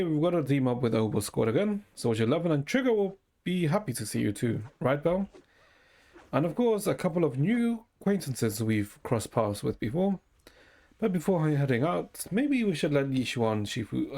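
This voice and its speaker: A man's voice: fairly high pitch, sing-song, normal speed, vocal fry, dark tone. Man casually reading game dialogue aloud. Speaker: game streamer. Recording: game music faintly behind.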